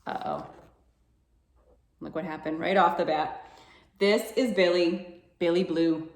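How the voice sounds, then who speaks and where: A woman's voice: fairly low pitch, fairly even intonation, slow. A woman reading aloud expressively at a children's storytime, coaxing young listeners with questions. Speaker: children's library storytime reader. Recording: echoey room sound.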